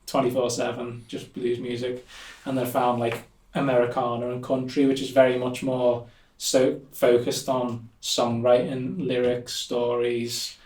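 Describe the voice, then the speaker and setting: Flat-toned Liverpool man, roomy sound. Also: medium pitch, a little slow, few pauses, slight background noise. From Liverpool, England. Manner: relaxed, rambling, many fillers like "um" and "like". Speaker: musician guest. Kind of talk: casual interview chat. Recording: echoey room, somewhat distant mic.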